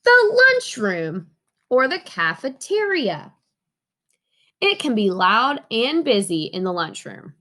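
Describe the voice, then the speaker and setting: A woman reading a children's storybook aloud in an expressive way, asking her young listeners questions. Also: fairly high pitch, slow pace. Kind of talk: children's storybook read-aloud with sing-along.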